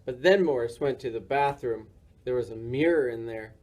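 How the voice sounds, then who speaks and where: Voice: medium pitch, moderate pace, a little mellow. A reader narrating a children's storybook for a library story time.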